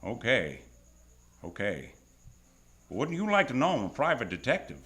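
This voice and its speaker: A man's voice: mid-range voice, huge pitch swings, normal speed, fairly bright tone. A man acting a wry, hardboiled private detective who narrates his case in old-time radio noir style on stage. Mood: probing, wry. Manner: wisecracking, tough, world-weary slang. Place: stage of live radio theatre.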